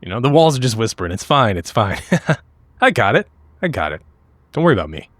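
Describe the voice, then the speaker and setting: A man improvises casually at a tabletop game in a slightly low voice with lively swings of pitch. He tends to repeat himself, and the recording is close and dry. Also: brisk, dry recording.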